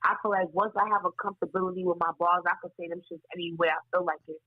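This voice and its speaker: Soft-spoken, blunt, profane woman. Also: lowish voice, fairly even intonation, racing, no room sound, muffled recording. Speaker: battle rapper interview guest. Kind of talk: candid interview talk.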